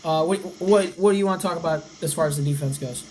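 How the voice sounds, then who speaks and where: A young man, around eighteen, hosts a casual sports podcast with a voice left slightly raspy from cheering. He runs through game stats at an easy clip. A little faint noise sits under the recording. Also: on the high side, quick pace, darkish tone, rough recording. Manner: rattles off stats. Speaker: podcast host.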